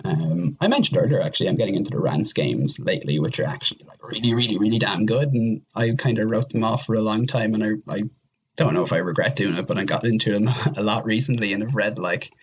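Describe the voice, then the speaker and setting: A man's voice: fairly low pitch, fast talker, slightly rough, lo-fi sound. A man chatting casually as a podcast guest, rambling enthusiastically and piling up intensifiers as he recommends things. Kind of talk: casual chat, recommending games.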